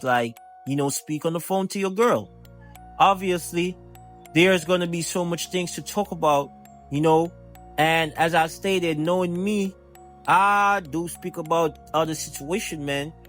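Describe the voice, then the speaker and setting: A man's voice: on the high side, a bit bright. A man giving casual, emphatic commentary, repeating his points and addressing the listener directly as a channel host. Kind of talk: casual reaction commentary to camera or mic. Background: music.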